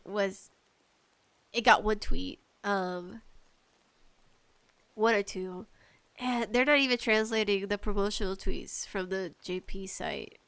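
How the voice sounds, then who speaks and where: A woman hosting a casual solo podcast about games, talking in an animated, playfully exasperated way with emphatic delivery. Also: mid-range voice, clear, resonant tone. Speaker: podcast host.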